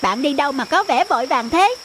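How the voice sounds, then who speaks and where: A woman voicing a cautious, concerned rabbit in an animated children's story, with a pleading, cautioning manner. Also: high voice, a little fast, a little hiss or hum. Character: cautious, concerned cartoon forest rabbit friend.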